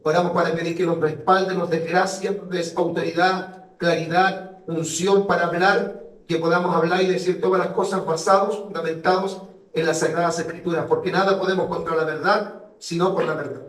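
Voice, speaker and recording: A man from Chile, a guest evangelist pastor on a radio program, speaking and praying in a solemn, measured way. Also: high voice, level tone. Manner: solemn, measured prayerful delivery. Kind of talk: radio guest talk and spoken prayer. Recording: roomy echo, voice distant from mic.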